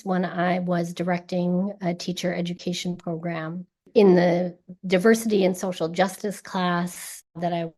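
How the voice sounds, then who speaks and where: A woman in her forties, an educator and founder, giving a thoughtful, earnest interview answer in a measured way. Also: on the low side, darkish tone. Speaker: guest, ethnic studies educator and founder.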